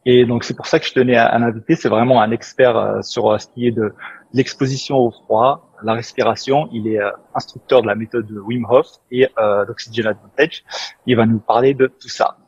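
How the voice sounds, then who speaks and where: Husky-voiced French host on a dull, dry recording. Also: male voice, medium pitch, steady tone. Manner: halting, many fillers. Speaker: podcast host, interviewer. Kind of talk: casual interview questions.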